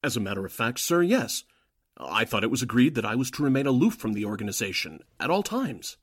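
A man's voice: mid-range voice, big pitch swings, a little fast, breathy edge, no room sound, clean studio sound. A man acting a deferential, uneasy underling, a newspaper editor who is secretly an agent, in 1940s radio drama style with an earnest, hesitant manner.

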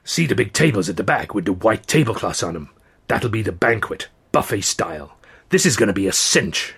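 A man's voice: lowish voice, sing-song, brisk, creaky, fairly bright tone, husky, full character voice. A man voicing a sly, eager hustler, down on his luck, with an informal, conspiratorial manner in an audiobook. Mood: gleeful, conspiratorial. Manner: conspiratorial, informal, clipped grammar. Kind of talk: audiobook character dialogue.

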